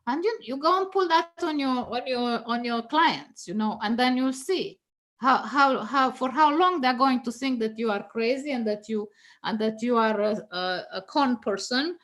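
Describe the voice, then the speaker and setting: A woman's voice: a little high, normal speed, a bit bright. A woman whose first language is Danish, a guest tarot reader talking casually and teaching, laughing often and restarting her phrases with many fillers.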